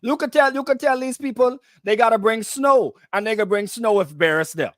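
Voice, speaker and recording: A man's voice: high-pitched, a little fast, a bit bright, dry recording, clean studio sound. A man with a Bahamian accent co-hosting radio, explaining things casually and conversationally and often repeating himself. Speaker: radio co-host and DJ.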